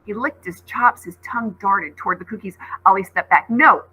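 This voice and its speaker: A woman reads a story aloud as a teacher would, with dramatic narration and conversational asides. Her voice is forceful and bright, with a husky, breathy edge. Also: medium pitch, a little fast, soft background music, rough recording.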